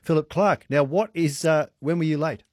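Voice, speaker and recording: A man's voice: on the high side, moderate pace, darkish tone, no echo. An Australian man hosts a radio quiz with a teasing, jokey manner and frequent laughs. His voice is dry and close-miked, with no room around it. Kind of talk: casual on-air chat with callers.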